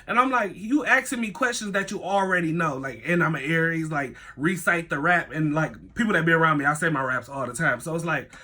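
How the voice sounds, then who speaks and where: A young man with a stuffy nose, telling a personal story in a casual vlog, animated, full of slang and "like", swearing freely. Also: brisk, hardly any pauses. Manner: animated, acts out his own thoughts in a higher voice.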